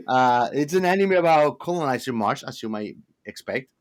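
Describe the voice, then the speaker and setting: A man talks casually as a podcast co-host. His voice sits at a medium pitch, and his phrasing is loose, informal and slangy. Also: animated, a little slow. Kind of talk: casual chat.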